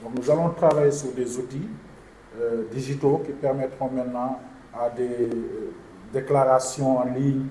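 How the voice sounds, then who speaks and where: A man, an insurance executive, answering journalists' questions at a press conference in a measured, formal way. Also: mid-range voice, steady tone, warm tone. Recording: echoey room with background noise.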